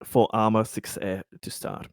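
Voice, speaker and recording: A man's voice: lowish voice, flat delivery, measured pace, a little mellow, no echo, studio quality. A man playing a tabletop game, chatting casually and jokily with repeated words. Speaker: player in a tabletop roleplaying game.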